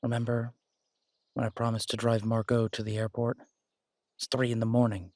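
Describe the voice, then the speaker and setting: A man playing a confident, protective alpha werewolf boyfriend in an intimate roleplay audio drama, husky and soothing, teasing and reassuring in a casual way. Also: mid-range voice, monotone, normal speed, a little mellow, no echo, lightly acted. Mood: earnest, soothing.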